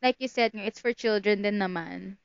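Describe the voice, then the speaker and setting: A woman's voice: mid-range voice, normal speed, creaky, smooth, dry recording, lo-fi sound. A woman with a Filipino accent in casual podcast conversation, playful and informal, moving between English and Tagalog. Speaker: podcast co-host.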